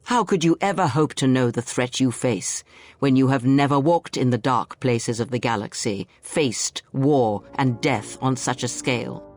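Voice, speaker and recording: An older woman playing a bitter, contemptuous old Sith master in a video game, speaking in a theatrical, deliberate, preaching way. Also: rumbling low, a little mellow, no echo. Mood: scornful, intense. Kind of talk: dramatic video game monologue. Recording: music behind. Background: music.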